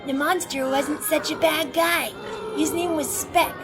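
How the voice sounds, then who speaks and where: A woman plays a young boy in an audio drama, with a high voice. He sounds trusting, hopeful and polite, with soft music behind him. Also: steady tone, measured pace. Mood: hopeful, pleading.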